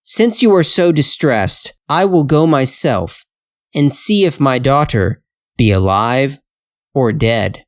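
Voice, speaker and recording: Guy doing a farmer dad in a fairytale, earnest n determined, talks real slow, dry sound. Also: mid-range voice, animated, no echo. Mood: resolute. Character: plain-spoken farmer, devoted father, determined.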